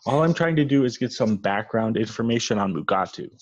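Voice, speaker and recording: A man's voice: medium pitch, animated, a little fast, close to an everyday voice. A man playing a persistent, polite magazine reporter in a comedy table read. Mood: apologetic, coaxing. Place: indoors at home on a video call. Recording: slightly dull video call audio.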